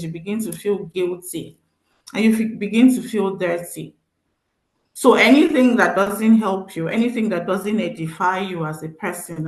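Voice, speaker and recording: Nigerian-accented woman, event speaker; earnest, encouraging, repeats for emphasis; echoey room. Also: mid-range voice. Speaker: speaker at a teen event, social worker. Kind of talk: motivational talk to teens. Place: event venue.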